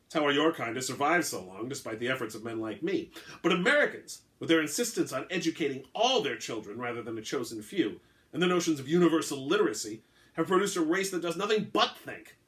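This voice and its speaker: A man's voice: on the high side, animated, quick pace, fairly bright tone. Man reading as a sardonic, weary vampire hunter. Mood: opinionated. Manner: dry, needling, opinionated. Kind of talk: dramatic reading of an all-dialogue short story.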